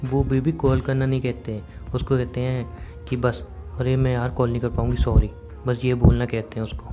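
A man's voice: medium pitch, flat delivery, moderate pace. A young man with an Indian accent chatting casually and intimately on a phone call, insistently asking questions. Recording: phone call recording, faint music.

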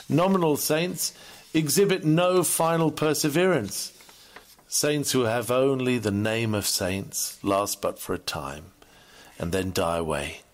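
A man with a fairly low voice reads a Victorian sermon aloud. His delivery is oratorical and measured, with an earnest weight to the phrasing, as a preacher would address a congregation. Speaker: sermon reader.

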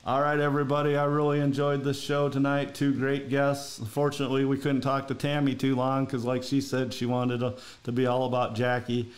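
A man's voice: medium pitch, completely flat delivery, fairly quick, hardly any pauses. A middle-aged man from Minnesota with a Minnesotan accent, hosting a podcast in casual, rambling talk from home over a video call. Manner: rambling, folksy, many fillers. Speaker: podcast host. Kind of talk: casual interview chat.

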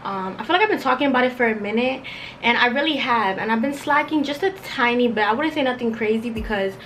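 Young female vlogger, slightly high voice, loose slangy get-ready-with-me chatter, bedroom recording with faint noise. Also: fast, a bit bright, poor audio. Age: young adult, around twenties. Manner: slangy, rambling, filler-heavy with frequent "like". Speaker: beauty and lifestyle vlogger. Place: bedroom at home, indoors.

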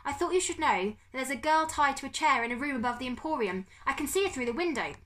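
A woman's voice: high-pitched, animated, fast, a bit bright, pitched above the performer's own voice. A woman voicing a clever schoolboy, polite, earnest and breathless, as if anxiously reporting trouble. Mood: polite urgency. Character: clever schoolboy making polite, feigned-alarm phone calls.

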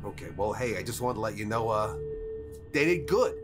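A man's voice: on the low side, lively intonation, fairly quick, music in the background, lightly acted. A man playing a folksy, cheeky go-between with a familiar manner in a tabletop game. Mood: cheeky, casual. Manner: casual, familiar. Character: folksy, cheeky male go-between named Bob Kowalski.

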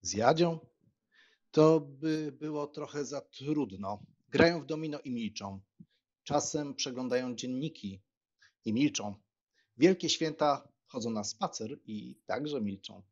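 A cheerful young gentleman of an audiobook drama, acted by a man, bantering and talkative. His voice is mid-pitched and husky, ironic in tone, on a slightly muffled recording. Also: expressive, a little slow. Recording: slightly dull, muffled sound.

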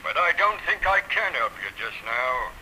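A man's voice: mid-range voice, sing-song, normal speed, noisy background, close to an everyday voice. An actor playing a grumpy, careworn old Australian country father in a 1930s radio serial. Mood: worried, confiding. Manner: irritable, muttering while adding sums.

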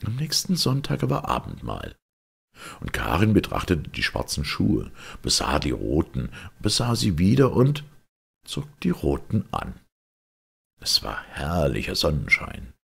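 A man narrating a fairy tale as an expressive storyteller, with a gravelly, husky voice and dramatic emphasis. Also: a bass voice, unhurried. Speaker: audiobook narrator.

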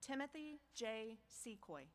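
A woman dean reading out graduates' names slowly and formally at an outdoor commencement ceremony, speaking into a podium microphone. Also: fairly high pitch, expressive, a little hiss or hum. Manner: slow, measured, pausing between names.

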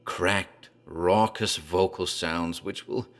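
A man narrating a horror story in a grave, measured storytelling manner. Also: low voice, steady tone, fairly bright tone.

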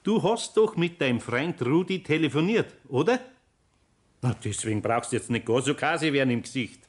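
A man's voice: medium pitch, lively intonation, close to an everyday voice. Actor as homely Bavarian master craftsman. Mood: probing, stern. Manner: unhurried, homely, chuckling, gently admonishing. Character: kindly, sly older male master craftsman. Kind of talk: 1960s radio play acting.

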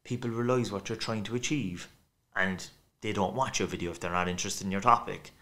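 A man with a thick Cork accent chats away in a small podcast studio, his voice forceful and bright. He tells stories with lots of restarts and asides, his tone sitting a bit low. He speaks into a desk mic. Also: a little fast. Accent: Cork Irish. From Ireland. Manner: chatty, animated storytelling with many restarts. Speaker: guest expert. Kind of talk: casual podcast chat. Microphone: desk mic on stand.

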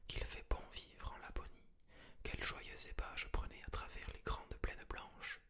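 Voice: unhurried, lightly acted. A reader whispering the lines of a homesick reindeer in a fairy tale. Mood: longing. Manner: whispered throughout.

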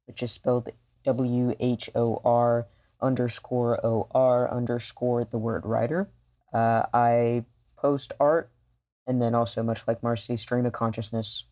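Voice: mid-range voice, darkish tone. A podcast cast member speaking in a casual, conversational style. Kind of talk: casual chat.

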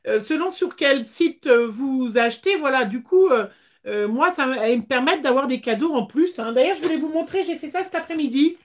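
A woman hosts a casual craft livestream from a small room. Her voice is high. She chats along with hesitant pauses, speaking into a device at arm's length. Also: animated.

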